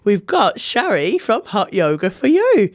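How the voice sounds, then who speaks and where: A woman radio host with a New Zealand accent talks in a casual, chatty way. Her voice is a little croaky as she gets over an illness. She speaks into a studio microphone. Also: mid-range voice, very animated, measured pace. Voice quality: slightly croaky. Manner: chatty, informal.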